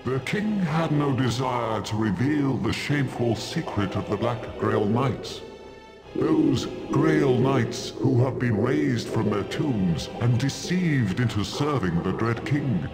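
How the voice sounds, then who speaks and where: Stately elf king in a game, grave and formal, mid pitch, clear music behind. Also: lively intonation, slow, roomy sound. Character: solemn, stately male elf king of the forest. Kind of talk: video game voice acting.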